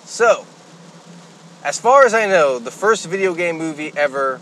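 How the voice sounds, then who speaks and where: A man's voice: fairly high pitch, animated, a little slow, a bit bright, slight background noise, poor audio. A man co-hosting a podcast in casual, loose chat with some mild swearing. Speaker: podcast co-host.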